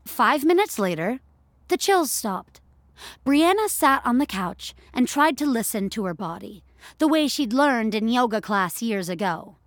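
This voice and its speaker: A woman's voice: a little high, very animated, unhurried, dry recording, clean studio sound. A woman narrator reading scripted audio fiction as a storyteller.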